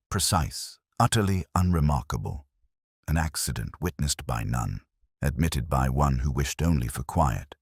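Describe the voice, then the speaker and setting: A man reading a scripted first-person mystery story in a reflective, solemn, deliberate, melancholy manner. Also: very deep voice, slightly rough, dry recording, studio quality. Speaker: narrator of a detective mystery story.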